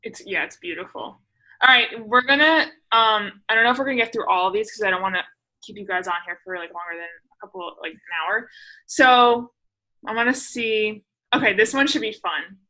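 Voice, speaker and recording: A woman hosting a casual group video chat, chatty and self-deprecating, with quick asides, on a video call mic. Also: medium pitch, pushed and bright, poor audio. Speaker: host.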